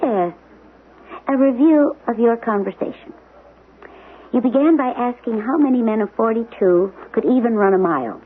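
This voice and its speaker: An actress playing a sharp, teasing wife who keeps a close, quick eye on her husband's moods. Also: a little high, expressive, close to an everyday voice. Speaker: supporting lead. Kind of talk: 1970s radio drama acting. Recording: tinny lo-fi old radio broadcast with faint hiss.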